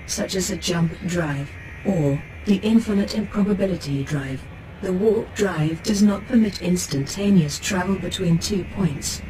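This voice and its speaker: An actor voicing a calm, knowledgeable artificial ship's computer, giving scripted encyclopedic narration in a measured, formal, precise manner. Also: mid-range voice, close to an everyday voice, poor audio. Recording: clear noise, spaceship ambience behind.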